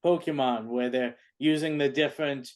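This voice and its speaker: A man's voice: mid-range voice, fairly even intonation, moderate pace, a little mellow. A man co-hosting a podcast, rambling casually and searching for words. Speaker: podcast co-host. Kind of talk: casual chat.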